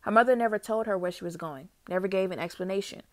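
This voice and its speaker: A woman's voice: medium pitch, quick pace, no room sound. Woman reading a biography, dry sound. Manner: reads steadily, with small restarts. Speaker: YouTube host. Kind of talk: read-aloud biography narration.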